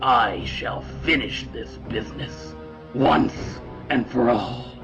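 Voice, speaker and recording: A man playing a menacing, arrogant older martial arts master, speaking with pompous, theatrical slowness and a gravelly edge in a fan-made voice comic. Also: medium pitch, lo-fi sound, music in the background, natural voice. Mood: cold menace. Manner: slow, pompous, theatrical threats.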